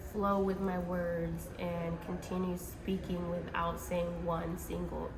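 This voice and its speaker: An American woman talks earnestly in a personal vlog, pausing and restarting as she searches for her words. Her voice has a gravelly edge and sits at a middle pitch. She records on a phone in an echoey airport hall with steady noise behind her. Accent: American English. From United States. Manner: earnest, hesitant, with frequent ums and restarts. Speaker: vlogger. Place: airport terminal. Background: airport ambience.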